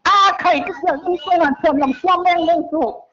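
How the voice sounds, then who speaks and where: A woman's voice: very high voice, extremely slow, fairly bright tone, a little hiss or hum. A woman talking casually in a phone conversation. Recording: muffled, dull phone-call audio.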